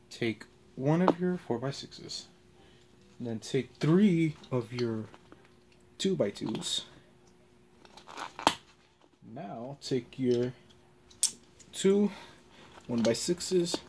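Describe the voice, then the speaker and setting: Guy doing a casual how-to at home, talks real slow and halting, bit of noise behind him. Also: medium pitch. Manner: halting, pausing between short step-by-step instructions. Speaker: tutorial presenter. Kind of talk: casual hands-on toy-building tutorial. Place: carpeted floor in a room at home.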